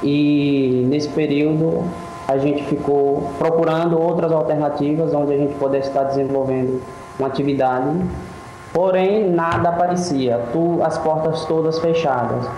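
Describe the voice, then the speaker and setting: A man's voice: a little high, warm tone. A man in his thirties from Brazil, telling his story as an interview guest over a video call, earnest and full of restarts. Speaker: guest entrepreneur. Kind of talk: interview answers. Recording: echoey room with faint noise.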